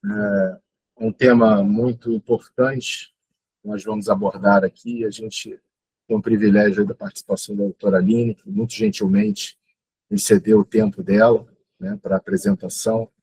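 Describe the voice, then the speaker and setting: A man's voice: fairly low pitch, normal speed, creaky, a little mellow, husky, rough recording. A man with a Brazilian accent hosting an informal online talk, courteous and deferential as he hands over to a guest. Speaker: host introducing the guest. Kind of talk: informal spoken welcome.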